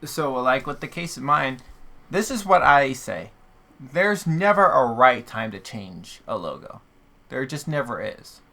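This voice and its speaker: A man's voice: mid-range voice, very animated, unhurried, creaky, sharp tone. A man hosting a podcast in casual conversation, explaining his points emphatically. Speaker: podcast host. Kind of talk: casual chat.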